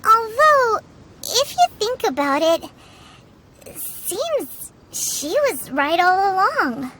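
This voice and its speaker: A woman voicing a tiny, childlike fairy companion in a video game, squeaky, cutesy and inquisitive. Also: a bit bright, a little hiss or hum, heavily acted, low-quality recording. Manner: refers to self in third person, cutesy.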